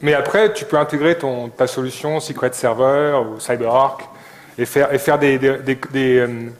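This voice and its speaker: A man's voice: mid-range voice, steady tone, moderate pace. A man talking casually as a conference co-speaker, often repeating words as he answers questions. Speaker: conference co-speaker, software developer. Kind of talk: informal conference Q&A.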